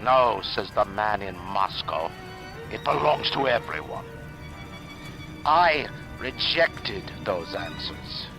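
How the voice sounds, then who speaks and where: Game villain-founder: proud industrialist, low slow voice, grand emphatic oratory over music. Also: male voice, animated. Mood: grand, defiant. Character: proud male visionary industrialist, founder of a city. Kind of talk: grand scripted propaganda monologue. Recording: loud music behind the voice. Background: loud music.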